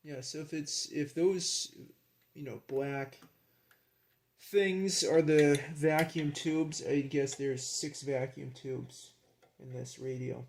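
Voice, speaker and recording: A man with a creaky voice talking casually and haltingly, half to himself, as he narrates a hands-on hobby task. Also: a little high, fairly even intonation, darkish tone. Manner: halting, muttering to himself while handling parts. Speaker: hobbyist presenter. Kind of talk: casual hands-on vlog narration while working.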